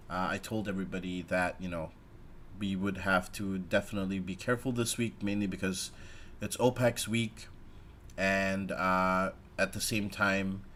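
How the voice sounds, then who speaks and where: A man with a fairly low voice, a little raspy as he gets over a sore throat, hosts a live stock market stream. He talks casually and loosely, leaning on "uh" and "you know". Faint noise sits under his voice. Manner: relaxed, chatty, with many "uh" fillers. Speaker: stock market livestream host. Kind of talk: casual livestream market commentary.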